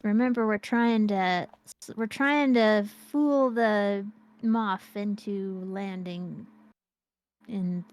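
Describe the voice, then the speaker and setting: A woman's voice: medium pitch, takes it slowly, poor audio. A woman co-hosting a game stream, chatting in a playful, rambling way and often restarting her sentences. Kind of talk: casual gameplay chat.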